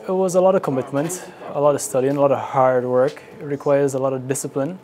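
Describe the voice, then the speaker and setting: A man's voice: mid-range voice, fairly even intonation, fast, a little mellow. A man with a Guyanese accent giving informal interview answers as an air traffic controller. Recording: clearly noisy location interview.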